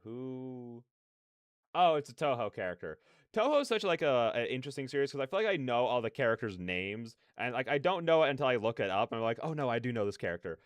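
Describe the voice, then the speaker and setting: A man hosting a casual reaction livestream, rambling in chatty, slangy speech with an animated, self-deprecating manner. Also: mid-range voice, fast talker, no echo. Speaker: livestream host.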